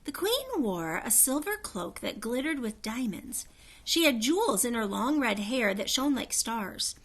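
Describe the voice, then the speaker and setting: A woman reading a children's story aloud, in an expressive narrating style. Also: fairly high pitch, measured pace. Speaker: storybook reader.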